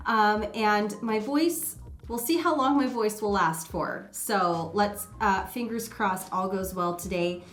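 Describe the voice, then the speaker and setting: A woman's voice: medium pitch, slow pace, fairly bright tone. Woman beauty vlogger, nasal with a cold, loose chatty delivery, echoey room. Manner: chatty and rambling, many ums and uhs. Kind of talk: casual makeup vlog chat.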